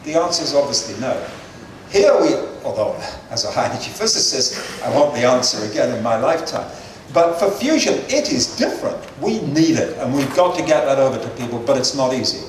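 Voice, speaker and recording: Older male speaker fielding audience questions, earnest and measured, slightly high voice, picked up from afar in a reverberant hall. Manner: measured, earnest, with frequent fillers. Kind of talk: lecture-hall Q&A answers. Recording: echoey hall, distant mic, faint noise.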